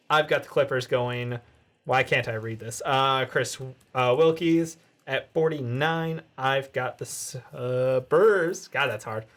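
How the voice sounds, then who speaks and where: A man co-hosting a sports podcast, reading his draft picks fast with casual jokes. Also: mid-range voice, a bit bright. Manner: casual, joking, laughs mid-list. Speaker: podcast co-host. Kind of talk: rapid-fire mock draft reading.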